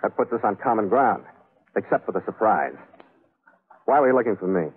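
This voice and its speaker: An actor playing a tough, wry sea captain, clipped and dry, in a 1940s radio adventure with first-person narration. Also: male voice, lowish voice, lively intonation, brisk, husky, phone-quality sound. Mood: cool, cynical. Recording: thin 1940s radio recording with music.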